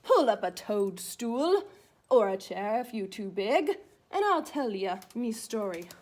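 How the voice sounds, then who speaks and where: A woman's voice: fairly high pitch, sing-song, measured pace, a little mellow. A woman reading the part of a feisty, proud little old leprechaun cobbler from a storybook, with boastful, folksy phrasing.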